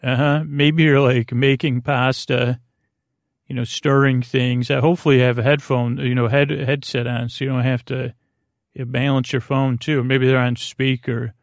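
A man with a creaky voice hosting a bedtime podcast in a meandering, halting, soothing monologue, often repeating himself and trailing off. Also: flat delivery, no room sound, studio quality. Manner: soothing, low-pressure. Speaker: podcast host. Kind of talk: rambling bedtime monologue to help listeners sleep.

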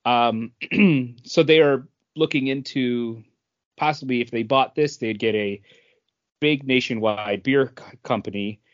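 A man co-hosting a casual podcast, explaining industry news in a halting, stop-start way. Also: medium pitch, dry recording, highs cut off. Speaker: podcast co-host.

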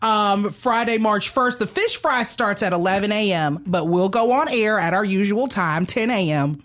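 A woman hosting casual radio chat, playful, chatty and quick to laugh. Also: medium pitch, a bit bright. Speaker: radio show host.

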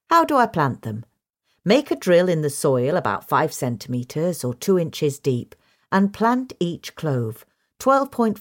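A woman's voice: low voice, darkish tone. A woman with a British accent reading a scripted instructional magazine article as narrator.

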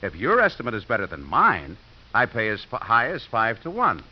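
A man's voice: fairly low pitch, huge pitch swings. An actor playing a cynical, wisecracking American divorced father with a dry, sardonic manner in a 1950s radio drama. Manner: dry, sardonic, hesitant pauses. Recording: muffled 1950s radio recording.

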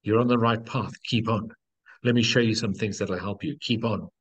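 A man's voice: on the low side, steady tone, normal speed, fairly bright tone, husky. A middle-aged man from South Africa, a life coach, telling stories in an earnest, encouraging way and repeating key phrases. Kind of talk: reflective storytelling in conversation.

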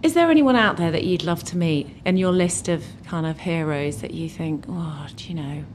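A woman hosting a conversational interview, asking questions in an informal, curious and eager way. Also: moderate pace. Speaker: interviewer, host. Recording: faint low rumbling noise.

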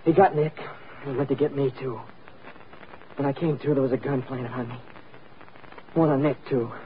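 An actor playing a wounded, frightened young fugitive in an old-time radio drama, halting, strained and pleading. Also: male voice, mid-range voice, fairly even intonation, natural voice. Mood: weary, halting. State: exhausted, strained. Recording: muffled, faintly noisy vintage radio recording.

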